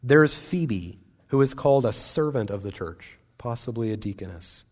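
A man's voice: medium pitch, warm tone. Earnest conversational male preacher. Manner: conversational, earnest, with small restarts. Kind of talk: sermon.

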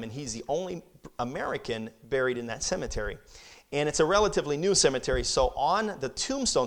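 Earnest missionary man speaking to a church. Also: medium pitch. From Brazil. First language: Portuguese. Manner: earnest, conversational, repeats words while speaking. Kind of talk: church presentation, Q&A.

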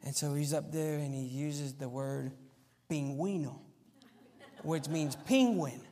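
A man's voice: fairly high pitch, measured pace, mellow. A man who grew up in Argentina, preaching as a visiting missionary in an informal, plain-spoken way to a congregation. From Argentina, as a missionary kid. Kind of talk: sermon with anecdotes and prayer. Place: church sanctuary, live Sunday service. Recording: echoey church hall with long reverb.

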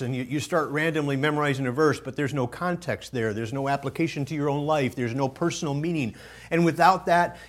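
A man preaching a sermon to his church as pastor, folksy and storytelling, his voice a little gravelly. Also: a little high. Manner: folksy storytelling, loud bursts when quoting his own preaching. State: slightly gravelly voice.

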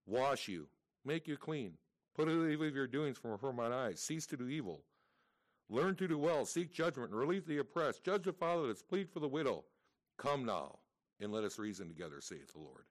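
Loud guy preaching, reads bible verses all punchy then talks casual w the church. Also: fairly low pitch. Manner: reads scripture aloud with emphatic swings, then chats casually. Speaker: pastor.

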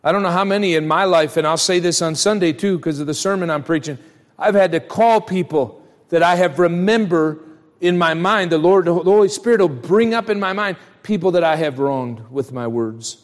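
A man's voice: a little high, moderate pace. A man preaching a sermon in a conversational way, often putting rhetorical questions to his listeners. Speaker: preacher at a church. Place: large reverberant church room, live service. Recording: reverberant hall, live church sermon.

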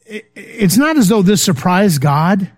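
High-voiced man teaching Bible study. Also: big pitch swings, a little slow. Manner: informal, many fillers, checks in with "okay?".

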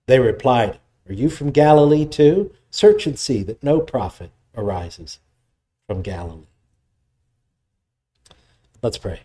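A man preaching, reading scripture aloud, praying, then explaining the passage in a measured, teaching way. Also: expressive, dark tone. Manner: measured expository preaching, voice drops low in prayer. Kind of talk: sermon with scripture reading and prayer.

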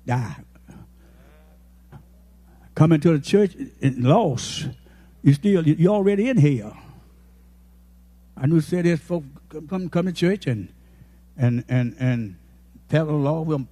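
A man in his eighties, a pastor, preaching a folksy, impassioned sermon that rises to shouted peaks. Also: a little high, animated, unhurried, long pauses. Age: 80s.

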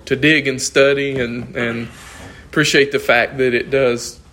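A man's voice: medium pitch, steady tone, unhurried, a bit bright, slight background noise. A man, losing his voice, teaching an informal Bible class in a conversational, thinking-aloud way and asking the class questions.

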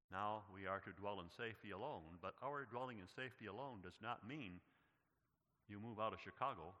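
A lower-pitched man preaches a sermon with a bright, forceful tone. His delivery swells into loud, emphatic declarations. His voice rings with the long reverb of a church hall. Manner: builds to loud, emphatic preaching, addresses listeners as beloved. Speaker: pastor.

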